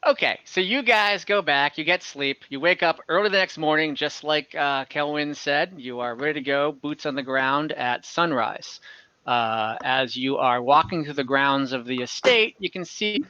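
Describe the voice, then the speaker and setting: A man narrating as game master in an informal, halting way, chatting casually between scenes over a video call. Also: on the high side, sharp tone, clear, resonant tone, no room sound. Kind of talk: narrating an online tabletop game, casual chat. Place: indoors at home, on a group video call. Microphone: computer or headset mic over video call. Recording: dull video call audio.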